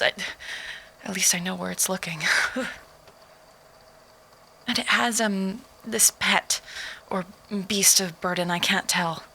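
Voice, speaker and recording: A woman playing a frightened survivor in an audio drama, haltingly recording a log of horrors, at times near a whisper. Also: medium pitch, gravelly, bright, forceful tone, exaggerated voice. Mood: disgusted, fearful.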